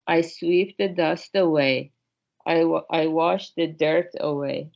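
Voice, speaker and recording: A humble, slow-witted disciple voiced by a woman storyteller speaks earnestly and with self-doubt. The storybook delivery is slow and gravelly, in a dull lo-fi recording. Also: deep voice, steady tone, darkish tone, heavily acted. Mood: earnest reciting. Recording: dull, lo-fi sound.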